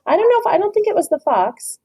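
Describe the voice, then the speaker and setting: Woman reading a storybook to a kid, voice all over the place, gentle and encouraging. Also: very high-pitched, sing-song, fast talker. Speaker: teacher reading aloud. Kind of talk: children's read-aloud with questions.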